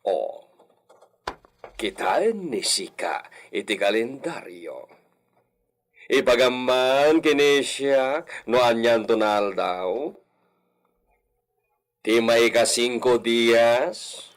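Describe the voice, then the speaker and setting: Man playing a slow-talking, swooping lordly señor. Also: medium pitch, animated. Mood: doting, amused. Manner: drawn-out, sprinkles Spanish words. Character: haughty Spanish-speckled señor, doting father of little girl. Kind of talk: Filipino audio drama acting.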